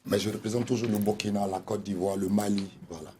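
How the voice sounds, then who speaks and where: A man's voice: fairly low pitch, flat delivery, fast, dark tone, a little hiss or hum. A man from West Africa, a guest on a television panel, speaking in an earnest, declamatory way. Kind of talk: lively panel debate.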